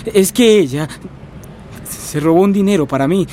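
A desperate young Latin American fugitive acted by a man in a radio drama. His voice is high and soft-edged, pleading in agitation, with some noise behind it. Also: moderate pace, lightly acted. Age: young adult. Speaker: voice actor. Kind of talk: Spanish-language radio drama acting.

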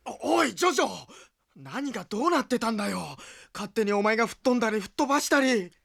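Flustered, animated, creaky comic bystander. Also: female voice, fairly high pitch, fast, full character voice. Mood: bewildered. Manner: blustery, exclamatory. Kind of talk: Japanese anime audio drama acting.

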